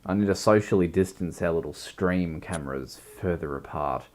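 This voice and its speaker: A man with a croaky voice, hosting a livestream and musing slowly through a philosophical chat that builds into long lists. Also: low-pitched, crowd noise. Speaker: livestream host.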